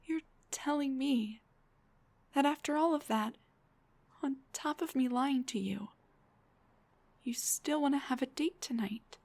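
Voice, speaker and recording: A young woman voicing an embarrassed, self-deprecating headless dullahan on a date, in an intimate roleplay, breathy and hesitant, often slipping towards a whisper. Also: high voice, a little slow, pauses often, a little mellow. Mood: hesitant, surprised. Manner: hesitant, stammering, joking. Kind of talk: intimate audio roleplay acting.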